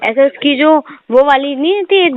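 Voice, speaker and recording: A narrow, tinny phone call with some noise carries this woman's voice. She pitches it high and complains like an aggrieved customer, insistent and talking at length. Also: lively intonation, measured pace. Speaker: customer complaining to a car salesman. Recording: noisy tinny phone line with clicks.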